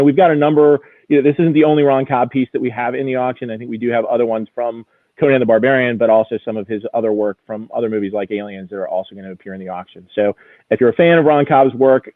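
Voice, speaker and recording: A man's voice: medium pitch, no echo. A man talking casually and explaining things in detail as an interview guest, with enthusiasm for his subject. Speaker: auction house executive guest. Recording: tinny, narrow phone-like sound.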